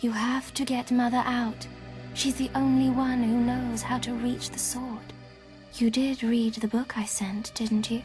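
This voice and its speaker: Game seer, female actor: young, breathy, fairly high, flat intonation, foreboding intensity. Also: measured pace, over music, natural voice. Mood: urgent, foreboding. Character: hushed, grave young seer sister, urgent. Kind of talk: video game cutscene acting.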